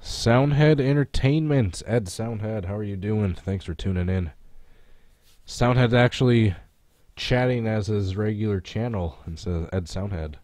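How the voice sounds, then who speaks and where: Low-voiced congested man streaming casually. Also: measured pace, a little mellow, no echo. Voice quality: congested, stuffy nose. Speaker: sim racing live streamer. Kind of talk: casual live stream chat and game commentary.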